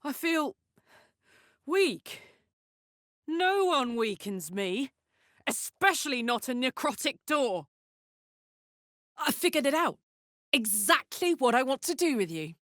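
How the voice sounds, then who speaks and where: A woman voicing a brash, warm-hearted tiefling warrior in a video game, with blunt, earthy soldierly talk. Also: high-pitched, very animated, slow, pauses often, no echo, clean studio sound, exaggerated voice. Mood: strained. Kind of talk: video game character voice acting.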